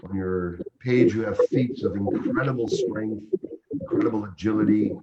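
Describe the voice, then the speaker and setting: A man's voice: lowish voice, moderate pace, muffled recording, heavy background noise. A man born in Uganda hosting a livestream interview, introducing his guest with effusive, reverent praise. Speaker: host.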